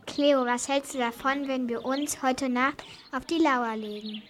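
A female performer as a high-voiced, eager schoolgirl. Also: fairly even intonation, unhurried, very noisy. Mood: curious. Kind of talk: amateur children's radio play.

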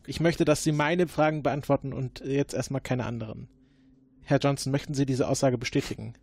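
A man's voice: medium pitch, steady tone, a little mellow, breathy edge, no room sound. Guy playing detective in an rpg, mid voice, formal questioning, stumbles a lot, dry recording. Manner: formal, probing, halting. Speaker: player acting as investigating agent. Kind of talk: improvised role-play interrogation.

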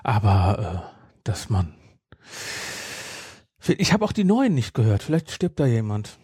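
A man's voice: lowish voice, very animated, unhurried, mellow, husky, no echo, clean studio sound. A man chatting casually as a podcast co-host, rambling and repeating his phrases. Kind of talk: casual chat.

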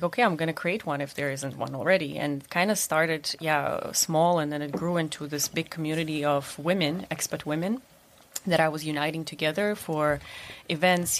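Woman, young adult, low pitch, chatty, self-correcting, faint room ambience. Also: slight background noise. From Russia and the United States. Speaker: event manager, guest. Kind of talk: casual interview talk.

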